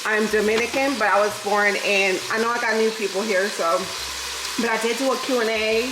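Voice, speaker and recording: A woman's voice: a little high, steady tone, fairly quick, reverberant, background noise. A woman in her thirties from New York City, a native Spanish speaker with a New York accent touched by the South, chatting casually with viewers while she cooks in her home kitchen. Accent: New York with a Southern lilt. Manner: chatty, laughs often, talks to viewers as "y'all". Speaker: vlogger. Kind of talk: casual chat while cooking. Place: home kitchen with food frying.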